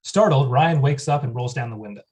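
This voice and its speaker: A man's voice: medium pitch, brisk, darkish tone. A man reading the stage directions at a table read in a plain, matter-of-fact way.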